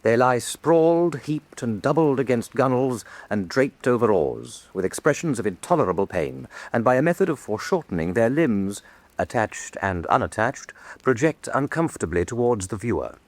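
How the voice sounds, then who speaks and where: Actor as detached male narrator, measured, precise, grave, varied intonation, dry studio, scripted drama. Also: mid-range voice, lively intonation, no echo, lightly acted. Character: formal, detached narrator cataloguing a grim painting.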